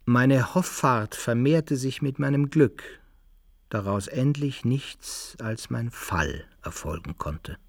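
An actor playing a proud soldier adventurer, narrating his own exploits in a radio play with a gravelly, husky voice. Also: mid-range voice, animated, slow, heavily acted. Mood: reflective, storytelling. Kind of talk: first-person literary narration in a radio play.

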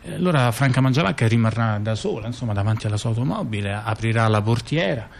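Halting, colloquial man telling a story. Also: medium pitch, lively intonation, vocal fry, a little hiss or hum. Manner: halting, colloquial, repeats words, asks rhetorical questions. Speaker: performer in a two-person theatre dialogue. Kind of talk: conversational storytelling to an audience member.

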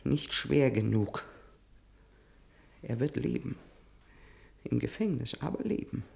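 A stubborn, vengeful wounded man in a German radio play, his voice extremely creaky and breathy. He speaks slowly and haltingly through pain, weak yet resolute. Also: mid-range voice, lively intonation, a little mellow, full character voice. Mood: bitter resolve. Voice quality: breathy, gravelly, strained. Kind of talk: German radio drama acting.

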